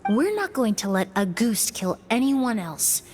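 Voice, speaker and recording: Calm, formal young investigator. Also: female voice, a little high, faint music behind. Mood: resolute. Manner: measured, formal and reflective. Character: composed young woman investigator, calm and thoughtful. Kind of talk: dubbed video game dialogue.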